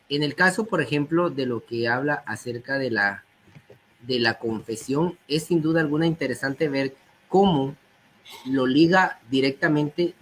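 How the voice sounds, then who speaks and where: Slightly hoarse man reading and explaining. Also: fairly high pitch, fairly bright tone, slight background noise. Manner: reads quotations aloud, then explains them. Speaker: guest speaker. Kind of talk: teaching talk on early church texts.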